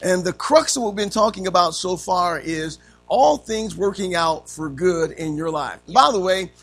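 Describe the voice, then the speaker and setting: A man teaching Sunday school in a folksy way, his voice a little raspy from allergies, dropping in amens often. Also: high-pitched, expressive, moderate pace, a bit bright, soft background music. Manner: punctuates with frequent amens, calls to the class.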